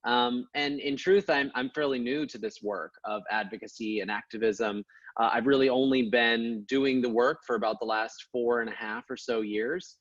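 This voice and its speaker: A man from Oregon introducing himself as a podcast guest and advocate, speaking earnestly and in a measured way with frequent small fillers. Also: mid-range voice, fairly even intonation, dry recording. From Portland, Oregon, USA. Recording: dull, dry, lo-fi sound.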